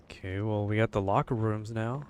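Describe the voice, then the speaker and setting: A man's voice: lowish voice, fairly even intonation, normal speed, darkish tone, slight background noise. A man streaming a horror game, grumbling casually and swearing, wary of what lies ahead. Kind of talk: casual livestream commentary.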